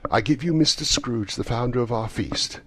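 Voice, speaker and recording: A man plays a mild, devoted father in a storybook reading. He speaks gently and earnestly, lifting the pitch above the narration, with a husky grain. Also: animated, measured pace, full character voice. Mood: warm toast.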